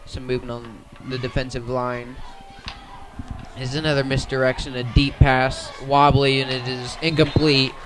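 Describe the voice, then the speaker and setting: A man's voice: medium pitch, level tone, normal speed. A man doing live radio play-by-play of a football game outdoors, in short clipped phrases. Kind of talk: live radio sports commentary.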